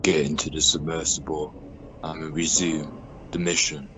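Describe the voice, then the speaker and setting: A man playing a calm, practical astronaut in an amateur radio play, speaking in a matter-of-fact way. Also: a bass voice, moderate pace. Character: calm, practical male astronaut on stranded crew. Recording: dull home-made recording, clear background noise.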